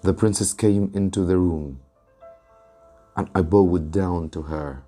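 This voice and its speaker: A man's voice: low voice, takes it slowly, dark tone, faint music behind. A man reads a novel aloud as a teacher, in a dramatic audiobook style. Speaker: English teacher reading a story aloud.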